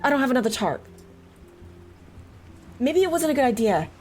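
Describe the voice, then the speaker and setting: A woman's voice: sits high, very animated, normal speed, a little mellow. Woman playing a flustered camping mom, music behind. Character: mother on a camping trip, flustered, self-doubting narrator. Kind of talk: audio drama narration and dialogue.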